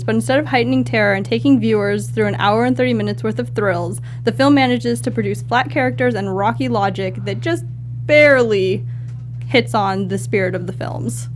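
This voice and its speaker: A woman's voice: on the high side, brisk, a little hiss or hum. A woman co-hosting a casual panel show, speaking in a dry manner. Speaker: co-host. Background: music.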